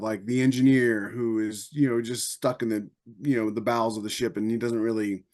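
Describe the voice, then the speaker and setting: A man's voice: on the low side, darkish tone. A man speaking casually as a podcast host and author, in a rambling, conversational storytelling way.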